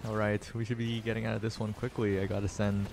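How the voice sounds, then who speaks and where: A man's voice: lowish voice, quick pace, gravelly. Chatty male esports co-caster. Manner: relaxed and chatty, laughs easily. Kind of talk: color commentary. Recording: faint game music behind.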